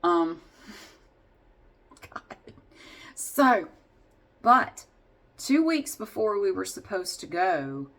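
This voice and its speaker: A woman's voice: mid-range voice, big pitch swings, very slow, pauses often, a bit bright. A woman vlogger casually telling a personal story in a candid, wry and sarcastic way.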